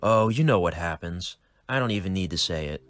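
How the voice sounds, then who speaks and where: A man voicing a cold, menacing older crime boss who speaks slowly and quietly. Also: low voice, animated, dry recording.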